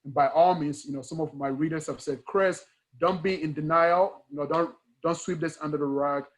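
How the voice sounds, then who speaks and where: A man's voice: fairly high pitch, fairly even intonation, moderate pace. A man from Ghana with a Ghanaian accent, talking earnestly in a conversational interview, stressing points through repetition and rhetorical questions. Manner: emphatic, repeats key words and asks rhetorical questions. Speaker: guest speaker, engineering educator.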